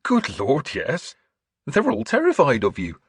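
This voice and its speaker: A man voicing a gentle, earnest scholarly bishop in an audiobook, with mild manners and a preacher's fervour. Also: fairly high pitch, wildly swooping pitch, a little slow, creaky, slightly rough, no echo, clean studio sound, voice pushed up, full character voice. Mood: amused, candid. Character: gentle, earnest scholarly priest turned bishop, quietly sly.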